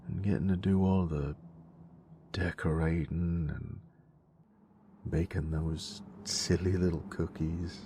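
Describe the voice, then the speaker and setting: Very deep, gravelly cocky bully boyfriend, acted by a man. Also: steady tone, slow, pauses often. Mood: playful, teasing. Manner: teasing, slangy, murmuring, often dropping toward a whisper. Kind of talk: intimate romantic audio roleplay monologue. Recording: noticeable background noise.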